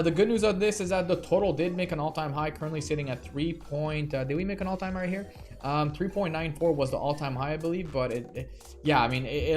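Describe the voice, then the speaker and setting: A man hosting a casual crypto trading livestream, rambling with lots of fillers and restarts. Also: on the high side. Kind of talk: casual live stream commentary.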